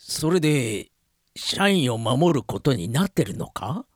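A man playing a skeptical, hard-boiled fellow inmate who talks in a measured, rough and pointed way in a radio comedy. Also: medium pitch, very animated, no room sound, studio quality. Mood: cool skepticism. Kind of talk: comic radio drama acting.

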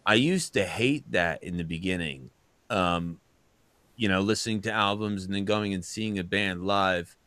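A man's voice: sits low, moderate pace, a bit bright, dry recording. Chatty man with a dry, close sound. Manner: rambling, chatty, many fillers. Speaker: podcast co-host. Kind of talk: casual chat.

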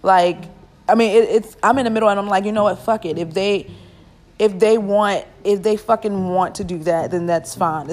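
Blunt, swearing young woman ranting. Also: on the low side. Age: 20s. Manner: blunt, heavy swearing, animated and emphatic. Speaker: podcast host. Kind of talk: casual solo podcast rant.

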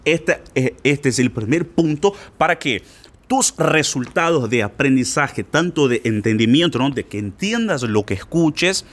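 Animated male teacher. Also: medium pitch, measured pace. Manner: informal, insistent, slangy, addresses listener directly. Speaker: Spanish teacher for learners. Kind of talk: casual spoken lesson.

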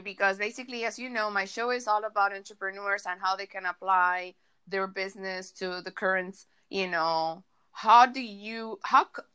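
A woman's voice: medium pitch, sharp tone, clear, resonant tone. A woman from Latin America with a Latin American accent, hosting a podcast interview and firing off several questions in a row. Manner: chatty, strings questions together. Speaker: podcast host.

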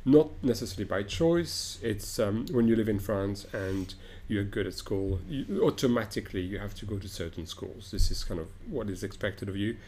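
A man's voice: lowish voice, animated, normal speed, few pauses, warm tone, slight background noise. A middle-aged man from Morocco, an opera director, answers interview questions thoughtfully, with frequent restarts and fillers.

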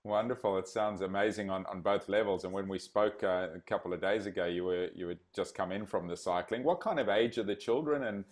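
A man's voice: low voice, brisk, few pauses. A man from Zimbabwe hosting a podcast interview, enthusiastic and chatty. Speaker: podcast host. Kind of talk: casual interview chat.

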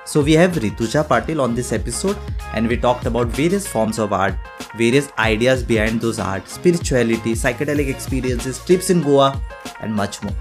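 Man, podcast host, enthusiastic, mid-pitched voice, faint music behind. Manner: enthusiastic, fills pauses with short acknowledgements. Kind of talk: podcast interview.

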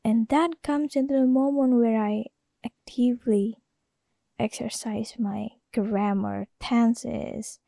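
A woman's voice: fairly high pitch, steady tone, slow pace, vocal fry, dark tone, no room sound, clean studio sound. An Indonesian woman, a native Indonesian speaker with an Indonesian accent, hosting a casual solo podcast in a rambling, hesitant way full of fillers. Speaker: podcast host.

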